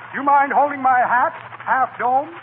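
Blustery pompous swindler, really high voice, wordy, old radio comedy, tinny noisy sound. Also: male voice, normal speed. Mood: breezy bluffing. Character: pompous, shifty male con man and petty crook. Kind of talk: 1940s radio comedy acting.

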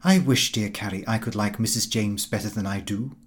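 A man's voice: lowish voice, a little fast, lightly acted. A man voicing a pompous, easily offended middle-class husband from a comic diary, speaking stiffly and formally. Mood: mild, wistful.

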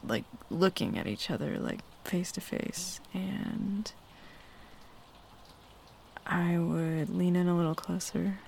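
A woman playing a shy, smitten young tomboy roommate in an intimate ASMR roleplay, halting and flustered as she talks. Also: on the low side, creaky, a little mellow. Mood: tender, hesitant. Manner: halting, hesitant, flustered with nervous chuckles. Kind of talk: ASMR roleplay, intimate one-sided dialogue. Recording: faint rain noise behind close voice. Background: rain falling.

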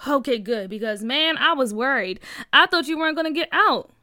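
Woman as cocky teasing boy, bright voice. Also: high voice, lively intonation, brisk, dry recording, clean studio sound. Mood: concerned. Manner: slangy, swaggering. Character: cocky teasing boy ringleader with a hidden heart. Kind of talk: acted children's story dialogue.

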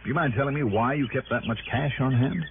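An actor in a 1960s radio drama playing a tough, sceptical private detective with a curt, probing manner. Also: male voice, medium pitch, fast talker, vocal fry, background noise, close to an everyday voice. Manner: curt, probing, hard-boiled. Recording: muffled old radio broadcast.

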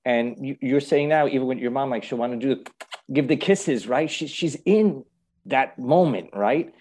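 A man hosting a casual, reflective conversation, speaking thoughtfully and haltingly and often checking in with the listener. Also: mid-range voice, a little mellow. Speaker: host.

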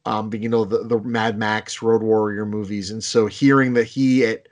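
A man from Boston with a Boston accent, chatting casually in a rambling, animated way with many restarts and casual swearing. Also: fairly low pitch, moderate pace. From Boston, United States. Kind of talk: casual chat.

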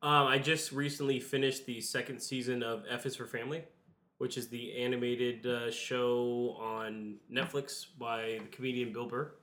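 Bright-voiced man, nasal from a cold. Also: medium pitch, moderate pace. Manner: relaxed, rambling with fillers. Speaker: podcast host. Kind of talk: casual chat.

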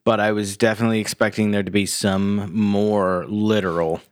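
A man co-hosting a casual podcast, slightly nasal, explaining in a measured, hesitant way. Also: on the low side, flat delivery, dry recording, clean studio sound. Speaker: podcast co-host.

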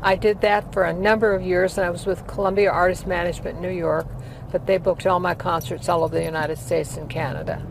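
A woman's voice: lowish voice, little pitch movement, fast talker, gravelly. A woman in her eighties, a former opera singer, recalling her career in a rambling, filler-laden way during a casual interview. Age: 80s. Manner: rambling storytelling with many fillers. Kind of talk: casual life-story interview. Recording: heavy traffic noise behind.

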